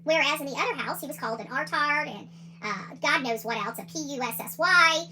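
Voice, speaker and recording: A woman's voice: sits high, fairly quick, sharp tone, slight background noise. A woman hosting a livestream, giving opinionated commentary in a combative, indignant and emphatic way, often repeating phrases for emphasis. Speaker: livestream host.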